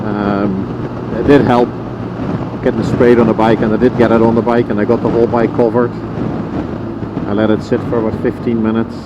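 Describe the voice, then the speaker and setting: A man's voice: mid-range voice, level tone, brisk. A man with a Dutch accent chatting casually as he rides a motorcycle, rambling with lots of ums and uhs. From Netherlands. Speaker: motorcycle vlogger. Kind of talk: casual vlog commentary while riding. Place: on a motorcycle riding outdoors. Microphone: helmet intercom headset mic. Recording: muffled lo-fi helmet mic, loud road and wind noise. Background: engine and road noise.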